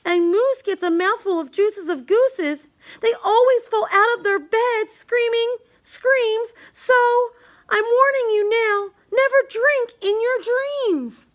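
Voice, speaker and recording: Lady with a really high voice slowly reading a rhyming kids bedtime book out loud. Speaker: storybook reader. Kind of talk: scripted read-aloud of a rhyming children's bedtime story.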